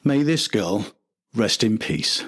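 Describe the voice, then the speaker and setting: Documentary narrator: older male, lower-pitched, husky edge, reading a prepared personal history script. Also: very animated, slow pace, a bit bright. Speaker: podcast narrator and host.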